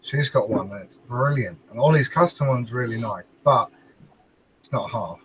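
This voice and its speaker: A man's voice: mid-range voice, moderate pace, noisy background. A man chatting informally and bluntly as a panellist on a talk show. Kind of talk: casual chat.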